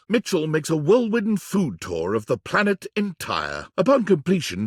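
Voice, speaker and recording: A man narrating a whimsical game as a wry, florid storyteller, with measured, theatrical delivery that relishes every flourish. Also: mid-range voice, no room sound, studio quality. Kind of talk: scripted narration.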